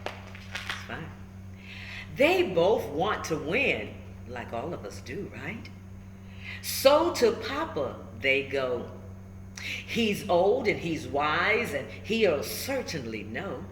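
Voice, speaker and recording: A woman reading a children's story aloud in an expressive way, pausing to check in with her listeners. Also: deep voice. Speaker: storybook reader. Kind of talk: animated children's read-aloud with asides to listeners. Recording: roomy echo with faint background noise.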